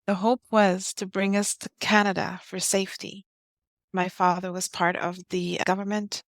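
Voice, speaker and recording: An earnest woman in her fifties who grew up in Guyana shares her story as an interview guest. She talks in short, heartfelt phrases at an ordinary middle pitch. The recording is close and dry. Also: gravelly, no room sound. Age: 50s.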